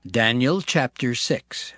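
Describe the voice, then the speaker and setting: Slow, expressive male scripture narrator. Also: mid-range voice, no room sound, clean studio sound. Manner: formal, solemn storytelling.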